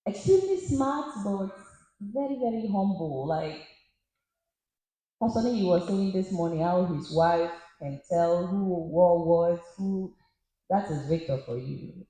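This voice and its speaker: Animated woman in echoey hall. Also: medium pitch. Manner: animated, laughing, storytelling. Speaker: panel guest. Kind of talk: panel discussion on stage. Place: large church auditorium.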